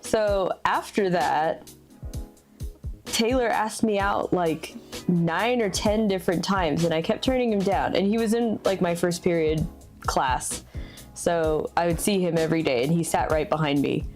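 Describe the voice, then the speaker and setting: A woman with a somewhat low voice tells a story in a relaxed chat. She is giggly and animated, with faint music in the background. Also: a little slow. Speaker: streamer's wife, guest.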